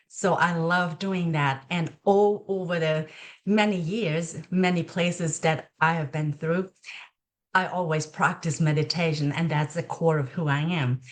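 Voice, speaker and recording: A middle-aged woman with a Thai accent talks as an interview guest in a slightly low voice. She speaks very slowly and with hesitation, pausing often and filling the gaps with soft fillers as she gathers her thoughts. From Thailand. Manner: slow and hesitant, many pauses and fillers. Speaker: guest, registered nurse. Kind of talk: conversational interview.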